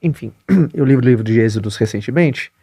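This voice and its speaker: Brazilian male podcast host, mid-pitched, dry sound. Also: animated, brisk, mellow, slightly rough, no echo. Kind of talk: casual interview chat.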